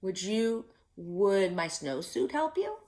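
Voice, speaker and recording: A woman's voice: medium pitch, sing-song, slow pace, a little mellow. Woman playing a lilting, confiding polar bear. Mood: helpful, confiding. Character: big polar bear afraid of icy nights, helpful.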